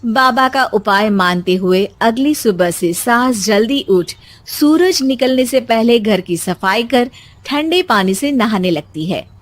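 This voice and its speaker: A woman's voice: on the high side, animated, slow, no echo. A woman reading scripted narration for a cartoon family story.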